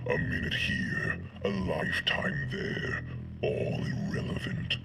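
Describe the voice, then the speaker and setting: An actor playing a grandiose, coldly mocking undying galactic tyrant in an audio drama, pompous and theatrical, savoring each phrase. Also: male voice, extremely low pitch, creaky, background noise, heavily acted. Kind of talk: anime-style audio drama acting.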